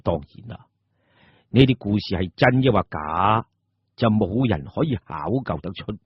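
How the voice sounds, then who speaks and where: Husky Cantonese male storyteller with animated pitch. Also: a little slow, no echo. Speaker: storyteller, narrator. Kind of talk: dramatic scripted audio-drama narration. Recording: muffled, dull audio.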